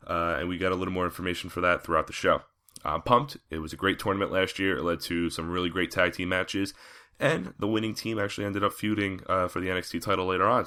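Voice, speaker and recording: Male podcast host, low and bright, slightly raspy from a cold, casual chatty recap. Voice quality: raspy, scratchy throat. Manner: conversational with frequent fillers. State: coming down with a cold.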